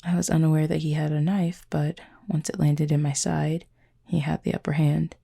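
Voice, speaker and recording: A woman playing a gentle, formal, wounded cupid in an intimate audio roleplay, speaking softly in measured, breathy phrases. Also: low voice, little pitch movement, full character voice. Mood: grave, measured. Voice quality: husky, breathy, creaky. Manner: measured, formal, soft-spoken with breathy pauses.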